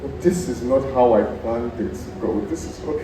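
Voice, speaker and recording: A man's voice: mid-range voice, normal speed, noisy background, natural voice. A man playing a deferential yet scheming servant in a stage drama, with exclamatory, theatrical delivery. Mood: sly, plotting. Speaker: student stage actor. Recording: echoey theatre from far off, music.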